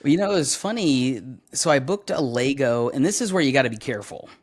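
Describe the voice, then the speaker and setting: A young adult man, a voice actor, chatting casually in an interview, relaxed and full of fillers as he tells stories. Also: mid-range voice, fast. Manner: relaxed, storytelling, many fillers. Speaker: voice actor guest.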